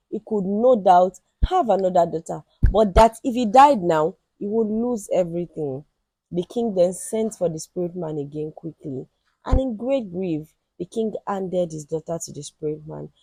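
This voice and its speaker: A woman telling a folktale in an expressive, emphatic and dramatic storytelling style. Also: mid-range voice, slightly rough, no echo, low-quality recording.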